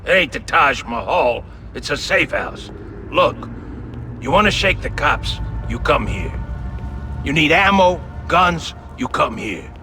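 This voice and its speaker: An actor playing a gruff, sarcastic mob fixer in a video game, brusque in his delivery. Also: on the high side, very animated, slow pace, bright, forceful tone, soft background music, exaggerated voice. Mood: sarcastic, impatient. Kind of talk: video game cutscene acting.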